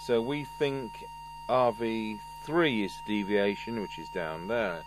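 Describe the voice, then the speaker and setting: A man's voice: on the low side, slow. A man giving dry, understated, step-by-step commentary as he does hands-on repair work at a workbench. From United Kingdom. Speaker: host, radio repair technician. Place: indoor electronics workbench. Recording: clear background noise with electrical hum. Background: steady hum and noise.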